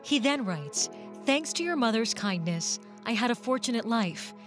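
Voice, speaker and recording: Woman news reporter, scripted voice-over, fairly high voice, faint music behind. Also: animated. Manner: measured, polished reporting delivery.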